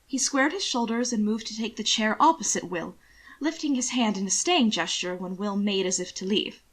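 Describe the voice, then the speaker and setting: A woman reading scripted fiction aloud as narrator, in a measured, expressive storytelling manner. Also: medium pitch.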